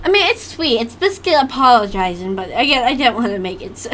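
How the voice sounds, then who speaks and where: A woman's voice: on the high side, sing-song, a little fast, music in the background. A woman giving casual reaction commentary on her own animation, giggly and rambling. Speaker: animator reviewing own old work.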